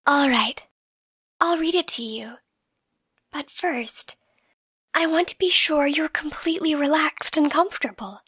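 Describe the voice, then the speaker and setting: A soft, breathy, often whispering catgirl girlfriend, acted by a woman, lulls her partner toward sleep in an ASMR bedtime roleplay. Her high voice moves very slowly, tender and reassuring. It is recorded dry and close to the microphone. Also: dry recording. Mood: soothing. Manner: tender, gentle, unhurried, reassuring. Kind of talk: ASMR bedtime roleplay and slow storybook reading. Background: soft music.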